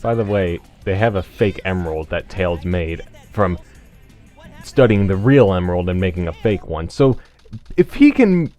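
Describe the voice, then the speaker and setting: A man giving casual video game commentary, explaining things in a hesitant, measured way. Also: low voice, animated, warm tone. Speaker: host of a group video game playthrough. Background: video game music.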